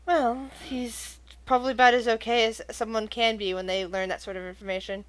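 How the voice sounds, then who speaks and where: A woman voicing a relaxed, slightly amused silver tiefling man in a tabletop game. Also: fairly high pitch, fairly quick, close to an everyday voice. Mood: easygoing.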